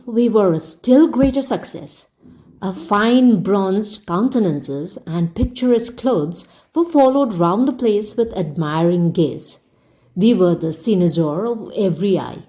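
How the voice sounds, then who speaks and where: Woman reading aloud, mellow and lively. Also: mid-range voice. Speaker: storyteller. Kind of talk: audiobook-style read-aloud narration.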